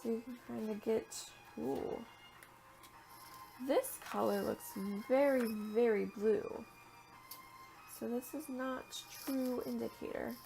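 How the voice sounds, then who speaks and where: A young woman with a raised, gravelly voice talks her way through a crafting demo, chatty and informal. She sounds a little raspy and worn out from a long day, and now and then she drops to a soft murmur as she works. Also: fairly high pitch, rough recording. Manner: chatty, thinks aloud while working, drops to a murmur at times. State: tired at the end of a long day. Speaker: art journaling vlogger. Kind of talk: casual crafting tutorial.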